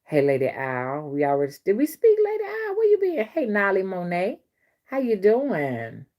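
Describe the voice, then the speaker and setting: A woman's voice: medium pitch, huge pitch swings, moderate pace, dark tone. A woman hosting a casual spiritual live stream on her phone, rambling and repeating herself as she talks straight to her viewers and reads their comments. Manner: rambling and repetitive, addresses viewers directly.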